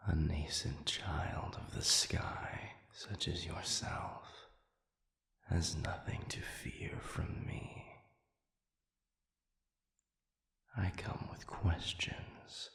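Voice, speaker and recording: Ancient celestial being, whispering slowly. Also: male voice, sits low, fairly even intonation, full character voice. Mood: formal, reassuring. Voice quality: breathy, hushed whisper. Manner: slow, formal, archaic phrasing with halting pauses. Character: ancient, solemn celestial being, weakened and searching. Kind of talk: audio roleplay acting. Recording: reverberant, echoey sound.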